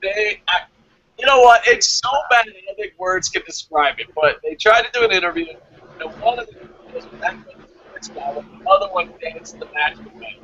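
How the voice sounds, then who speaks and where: A man's voice: sits high, animated, fairly quick, pushed and bright, highs cut off, slight background noise. A man co-hosting a wrestling review show in casual, opinionated chat, speaking bluntly and with plenty of swearing. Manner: profane, blunt.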